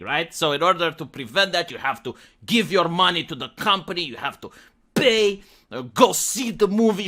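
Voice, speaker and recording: A man's voice: on the high side, pushed and bright. A man giving conversational, opinionated video commentary in an emphatic, critical and sarcastic manner.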